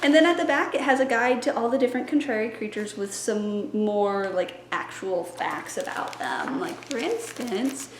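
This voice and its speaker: A woman's voice: fairly high pitch, lively intonation, moderate pace, few pauses, noisy background. A young woman in her twenties, a library science student, chatting in an upbeat, teacherly way as she plans a children's story time. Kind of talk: casual teaching vlog. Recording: echoey room.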